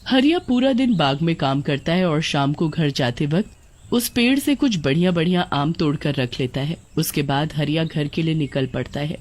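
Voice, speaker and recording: A woman's voice: low voice, animated, normal speed, darkish tone. A woman storyteller reading a scripted tale as narrator.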